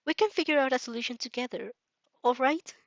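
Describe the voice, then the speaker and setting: Fan audio story: woman as kindhearted teen boy, bashful, soft-mannered, reassuring, pitched high. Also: fairly quick, no room sound, highs cut off. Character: shy, kind, pure-hearted teenage boy.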